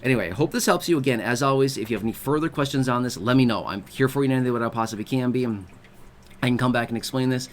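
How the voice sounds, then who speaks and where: A man's voice: medium pitch, fast talker, a little hiss or hum. A man hosting an online video, talking casually as he explains and often checks in with his listeners. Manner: conversational, keeps checking in with the listener. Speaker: video host explaining benefits.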